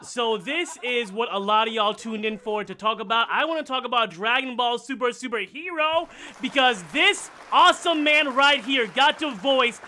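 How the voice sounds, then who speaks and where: A man hosting a casual interview, talking like an excited, gushing fan. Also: extremely high pitch, moderate pace, few pauses, a little hiss or hum. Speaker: podcast host.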